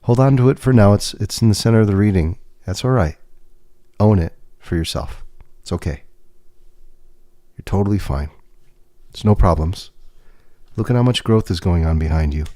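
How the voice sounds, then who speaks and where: A man livestreaming a tarot reading, talking in a gentle, reassuring way with pauses and restarts. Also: on the low side, dry recording. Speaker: tarot reader and podcast host. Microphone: webcam setup.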